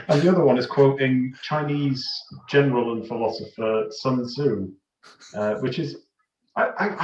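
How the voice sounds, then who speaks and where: A man co-hosting a podcast, casually discussing a film in a halting, wry way. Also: mid-range voice. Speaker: podcast co-host. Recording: slightly dull, echoey room.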